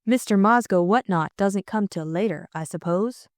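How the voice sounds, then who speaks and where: A woman voicing a determined upper-class young lady in an audiobook, brisk, commanding and unflustered. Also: mid-range voice, no echo, clean studio sound, natural voice. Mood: bossy, urgent.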